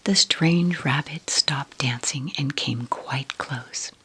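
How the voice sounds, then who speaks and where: A woman softly whispering a bedtime story in an ASMR style, taking her time as she narrates. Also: very deep voice, background noise. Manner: soft, unhurried whispering.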